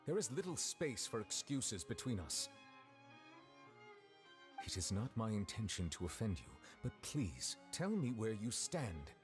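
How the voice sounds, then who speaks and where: An actor playing a stern, solemn adult male judge in a video game, speaking formally and in measured phrases. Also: on the low side, very animated. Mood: stern, pressing. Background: orchestral game music.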